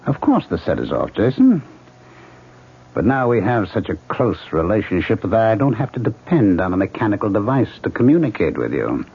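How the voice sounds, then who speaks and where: A man's voice: fairly low pitch, very animated, normal speed, vocal fry. An actor playing a smug, sinister male ghost in an old radio mystery play, coaxing his victim into a murder plot. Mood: smug menace. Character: smug scheming male ghost who speaks unseen. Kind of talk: 1970s radio drama acting. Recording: muffled old radio broadcast.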